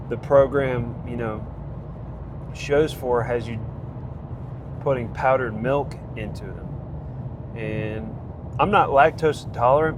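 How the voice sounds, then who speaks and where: A man with a congested voice giving a rambling, casual vlog update on his training, full of fillers and restarts. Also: medium pitch. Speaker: vlogger tracking a training program. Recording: noisy outdoor recording.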